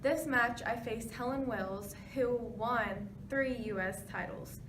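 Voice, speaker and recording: Casting: woman acting as 1920s women's tennis star, highish voice, scripted interview answers, noisy distant recording. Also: slow pace. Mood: matter-of-fact. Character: pioneering 1920s female tennis champion being interviewed. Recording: noisy, echoey room, distant mic.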